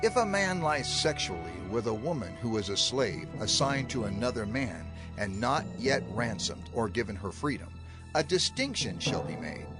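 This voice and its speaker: A man narrates scripture in a solemn, emphatic dramatized style. His mid-range voice moves very widely in pitch as he declaims, and music plays clearly behind him. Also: very animated, normal speed. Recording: music under the voice.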